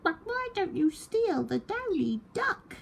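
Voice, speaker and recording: A woman's voice: very high-pitched, big pitch swings, slow, vocal fry, slight background noise, pitched above the performer's own voice, exaggerated voice. A woman voicing a crafty fat red hen, helpful and deceitful, in a children's storybook. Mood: coaxing. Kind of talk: children's storybook read aloud.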